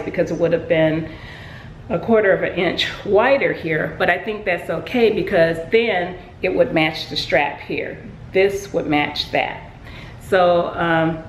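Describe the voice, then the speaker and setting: A woman's voice: on the low side, roomy sound, slight background noise, rough recording. A woman sewing vlogger casually showing her handmade work, chatty with many ums and restarts. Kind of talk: casual show-and-tell.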